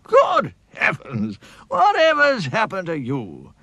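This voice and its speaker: A man voicing an excitable, kindly cartoon doctor who muses aloud in drawn-out exclamations. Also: high-pitched, vocal fry, breathy edge, no room sound, pitched above the performer's own voice, heavily acted. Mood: concerned, scheming. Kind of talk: children's storybook character voice.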